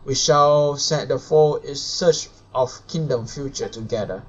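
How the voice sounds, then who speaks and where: A man who is a non-native English speaker, live streaming a game and reading its dialogue aloud in a halting, stumbling way. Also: medium pitch. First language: not English. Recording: dull lo-fi mic with music behind.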